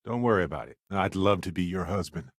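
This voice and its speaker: An actor playing an affable, witty, talkative space explorer in a video game, with an expressive, wry, folksy way of speaking. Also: male voice, low-pitched, fast, no room sound, clean studio sound. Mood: earnest, gentle. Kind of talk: scripted video game character dialogue.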